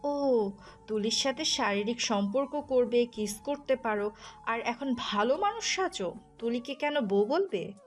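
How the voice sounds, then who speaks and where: Audio drama casting: actress as furious jealous wife, sharp and accusing, fairly high voice over music. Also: female voice, animated, a little slow. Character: furious, jealous, wounded wife scolding her husband. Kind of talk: audio story acting. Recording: music under the voice.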